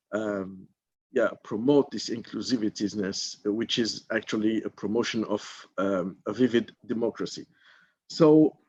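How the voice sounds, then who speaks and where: A man's voice: on the low side, darkish tone. A man from Morocco who served as a member of parliament, giving a thoughtful talk at a mentorship forum, stopping often on fillers. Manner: thoughtful, hesitant with many fillers. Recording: slightly dull lo-fi audio.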